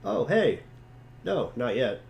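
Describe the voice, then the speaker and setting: A man voicing an easygoing, slightly exasperated teenage boy in a casual, expressive amateur dramatic reading. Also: mid-range voice, slow pace, gravelly, exaggerated voice.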